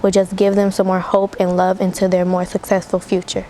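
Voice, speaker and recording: A woman's voice: mid-range voice, monotone, a little fast, warm tone, slight background noise. An American girl in her early teens giving informal interview answers as a youth volunteer. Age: early teens, eighth grader.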